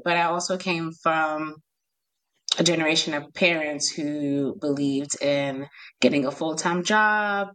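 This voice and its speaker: Guest: a New York woman in her thirties, a bit low-pitched, laughs easily, talks in bursts. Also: a bit bright. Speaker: guest, professional home organizer. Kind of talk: casual podcast conversation.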